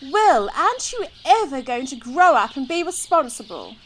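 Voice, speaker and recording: A woman's voice: high voice, very animated, moderate pace. A woman voicing a stern, weary cowboy father scolding his grown son in a children's storybook. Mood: exasperated. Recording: outdoor background noise with birdsong.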